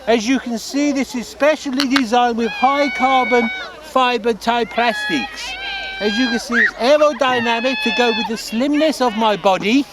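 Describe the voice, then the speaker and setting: A man's voice: very high-pitched, normal speed, a loud crowd. A man playing a giggly, boastful Norwegian would-be tobogganist, speaking broken English in a put-on foreign accent outdoors. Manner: boastful and earnest. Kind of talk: improvised comedy sketch. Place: outdoors on a snowy slope. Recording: noisy outdoor recording, traffic nearby.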